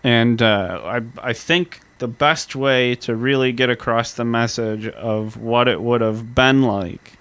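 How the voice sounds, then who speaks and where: A man's voice: mid-range voice, fairly bright tone, clear, resonant tone, dry recording. Earnest man on a dry podcast mic. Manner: earnest, reads historical quotes slowly. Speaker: podcast co-host. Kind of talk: casual history podcast chat.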